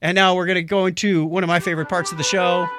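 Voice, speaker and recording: An American man co-hosting a podcast in casual, jokey chat with an animated delivery. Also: high voice, quick pace, soft background music. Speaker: podcast co-host.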